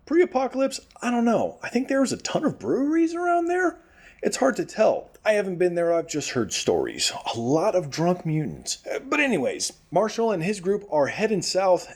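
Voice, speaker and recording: A man's voice: a little high, a little mellow. A man playing an earnest, chatty small-town radio host in a post-apocalyptic audio drama, rambling casually with hesitant asides.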